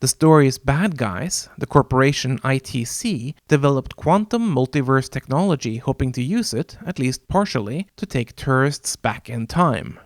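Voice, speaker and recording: A man whose first language is Norwegian, hosting a video essay in a measured, wry scripted narration with dramatic pauses. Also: medium pitch, lively intonation, no echo, clean studio sound. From Norway.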